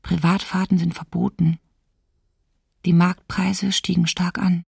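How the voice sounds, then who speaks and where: An actress playing a lonely, anxious woman reading her wartime diary for a radio documentary drama, in a hushed, somber, breathy way. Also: fairly low pitch, level tone, unhurried, no echo, studio quality, heavily acted. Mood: fearful, oppressed.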